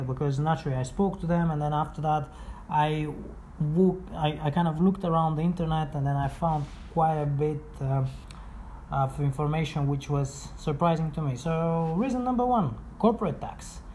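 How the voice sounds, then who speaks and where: A woman from Bulgaria with a deep, soft-edged voice talks through an informal explainer. Her phrasing is halting, with frequent self-corrections. There is faint noise in the background. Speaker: blogger and presenter.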